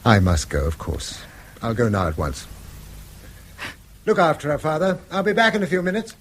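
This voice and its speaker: A weary schoolmaster husband in an old radio drama, with faint noise on the recording. His English voice is mid-pitched and varied in tone. He sounds reluctant, resigned and tender. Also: wildly swooping pitch, normal speed. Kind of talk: mid-century British radio drama acting.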